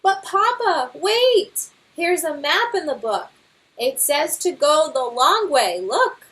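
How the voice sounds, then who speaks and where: A woman's voice: sits high, big pitch swings, takes it slowly, a bit bright, voice pushed up, exaggerated voice. A woman voicing an eager young boy bear scout in a children's storybook, speaking in an earnest singsong. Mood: urgent. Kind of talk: children's picture book read-aloud.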